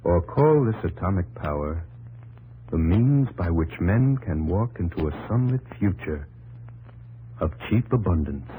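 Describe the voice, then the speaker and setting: Slow, low, grave atomic scientist. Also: male voice, expressive. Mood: grave hope. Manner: measured, ominous, oratorical. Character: grave male atomic scientist, weighty and portentous. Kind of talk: 1950s radio drama monologue. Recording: muffled 1950s radio sound with faint hum.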